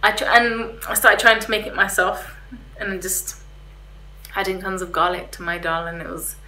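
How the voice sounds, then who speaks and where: Young female vlogger, casual and a little hesitant, bright tone, recorded in an echoey room. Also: medium pitch, level tone, normal speed. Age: young adult. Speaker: vlogger reviewing restaurants. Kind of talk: casual chat to camera. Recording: roomy echo, faint background hiss.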